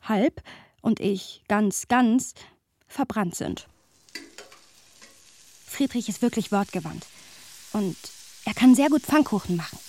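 An actress playing a witty, argumentative woman in a radio play, musing wryly and digressively in a slightly husky voice. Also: mid-range voice, darkish tone, close to an everyday voice. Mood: wry, reflective. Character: Sophia, witty, argumentative woman musing about her friend. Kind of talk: radio play narration and dialogue.

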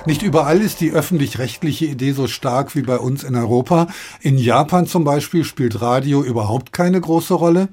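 A man's voice: mid-range voice, moderate pace, darkish tone, husky. A man hosting a radio history podcast, moderating and asking questions conversationally. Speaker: podcast host.

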